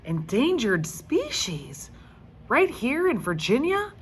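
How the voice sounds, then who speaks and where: Woman as an eager, dramatic schoolgirl, swooping pitch. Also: wildly swooping pitch, slight background noise, full character voice. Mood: amazed, excited. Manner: exaggerated, drawn-out emphasis. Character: eager, opinionated young schoolgirl, dramatic and cheeky. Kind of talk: children's storybook read-aloud.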